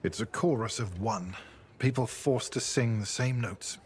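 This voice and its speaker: An actor playing a haunted, earnest ex-spy in a video game, with a measured, reflective delivery. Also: male voice, medium pitch, a little hiss or hum, close to an everyday voice. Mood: grave, reflective. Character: grave, haunted, earnest defected spy, grown man. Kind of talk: video game dramatic acting.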